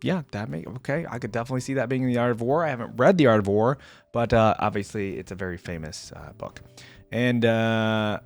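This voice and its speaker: A man's voice: medium pitch, a little fast, gravelly, no echo, soft background music. A man hosting a reaction video, giving casual, rambling commentary and addressing his viewers directly.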